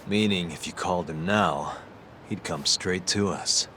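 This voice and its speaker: An actor playing a calm, determined detective in a video game cutscene, speaking in a cool, measured, direct manner. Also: male voice, low voice, slight background noise. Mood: firm. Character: calm, determined male detective planning a stakeout.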